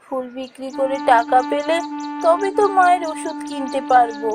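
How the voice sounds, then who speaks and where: Woman as a plaintive, high-voiced village girl. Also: normal speed, close to an everyday voice. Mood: weary, unwell. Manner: plaintive, earnest. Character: poor, dutiful, worried young village flower-seller girl.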